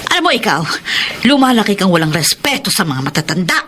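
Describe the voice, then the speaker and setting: An actress playing a devoted middle-aged single mother in a Filipino radio drama, expressive and respectful in her delivery. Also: mid-range voice, quick pace, slight background noise. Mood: angry.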